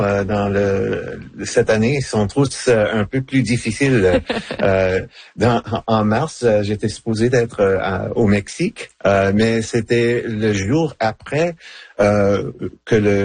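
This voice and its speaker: A middle-aged man, a novelist whose first language is English, speaking French haltingly in a radio interview with many fillers and restarts. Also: on the low side, a little mellow, husky, dry recording. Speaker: novelist guest.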